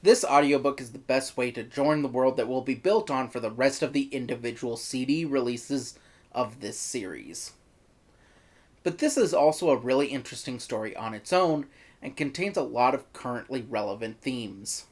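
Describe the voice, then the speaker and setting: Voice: medium pitch, animated, moderate pace. A review host giving semi-scripted commentary in an informal way, with enthusiastic asides. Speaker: host, audio drama reviewer.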